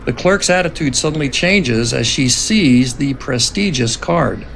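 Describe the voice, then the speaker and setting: Casting: male narrator for screenplay stage directions, mid-pitched with vocal fry, clear background rumble on the recording. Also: expressive, unhurried, a bit bright. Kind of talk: dramatic scripted screenplay narration.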